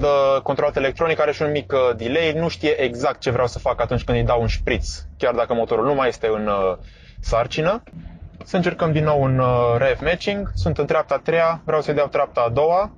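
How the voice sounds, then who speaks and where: A man calmly explaining step by step while driving, with frequent hesitations, recorded inside a moving car. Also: medium pitch, highs cut off, a little hiss or hum. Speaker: driving instructor vlogger.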